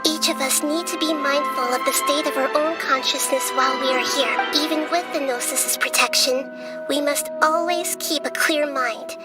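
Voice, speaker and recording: A woman voicing a small, childlike goddess in a video game, gentle, wise and solemn, with a soft girlish voice and measured, earnest delivery. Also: sits high, fairly even intonation, close to an everyday voice. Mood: resolved. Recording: loud music behind. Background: loud game music.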